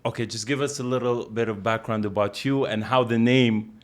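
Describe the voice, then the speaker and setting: A man hosting a podcast, chatting warmly with his guest and asking questions. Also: medium pitch, steady tone, moderate pace. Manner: friendly, warm, chatty with many restarts. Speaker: podcast host. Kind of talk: casual podcast conversation.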